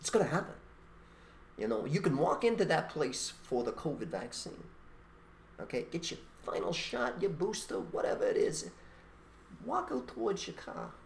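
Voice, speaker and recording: A man's voice: on the high side, big pitch swings, moderate pace, gravelly, slight background noise. A man co-hosting a podcast, talking in a blunt, emphatic way and making rhetorical points in an opinionated conversational monologue. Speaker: podcast co-host.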